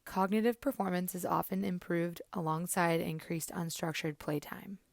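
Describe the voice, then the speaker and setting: A woman narrating a scripted student report in a measured, informative way. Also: lowish voice, fairly even intonation, vocal fry, no echo.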